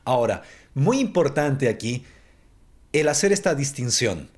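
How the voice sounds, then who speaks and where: A man hosting a conversational interview, explaining points in a measured way and posing rhetorical questions. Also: mid-range voice, sing-song. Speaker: interview host.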